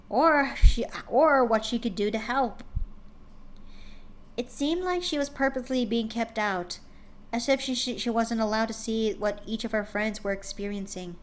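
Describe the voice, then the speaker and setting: A woman streamer reading a story aloud live, often stumbling and correcting herself. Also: on the high side.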